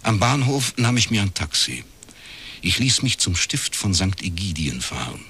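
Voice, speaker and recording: An actor playing a laconic private detective in a radio crime drama, with a husky voice and a measured, dry way of telling things. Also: male voice, low-pitched, very animated, sharp tone, heavily acted. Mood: dry, reflective. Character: laconic male East Berlin academic turned private detective.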